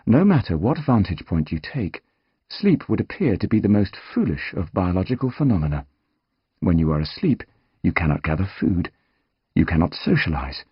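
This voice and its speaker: A man reading scripted nonfiction as an audiobook narrator, measured and articulate, with dramatic emphasis. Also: low-pitched, breathy edge, no room sound, lo-fi sound.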